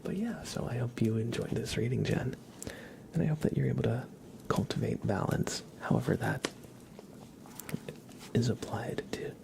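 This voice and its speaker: A man hosting an ASMR livestream, chatting to viewers in a breathy whisper mixed with soft, creaky speech, gentle, unhurried and playful. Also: mid-range voice, rough recording.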